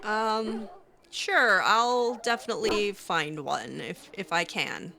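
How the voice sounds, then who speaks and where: A woman's voice: medium pitch, slow pace, creaky, noisy background. A woman improvising in character during a video game playthrough, chatty and hesitant with a cutesy touch. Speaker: roleplay gamer voicing her character.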